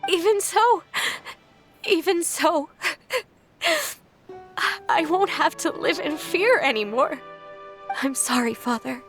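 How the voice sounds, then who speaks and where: A high, slow-spoken young girl from a video game. She sounds fragile and remorseful, halting as she pleads. Soft music sits behind her. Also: heavily acted. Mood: tearful, pleading. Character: frightened, remorseful young girl, emotional and obedient.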